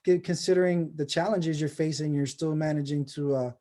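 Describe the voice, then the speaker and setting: A man's voice: a little high, steady tone, fairly quick, mellow. A man hosting a casual video call session, rambling and encouraging with many restarts. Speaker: host of a personal branding course.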